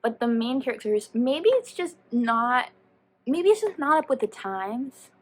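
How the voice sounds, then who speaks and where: A woman giving a casual, chatty book review as a vlogger, animated and slangy, often rambling and interrupting herself. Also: on the high side, measured pace.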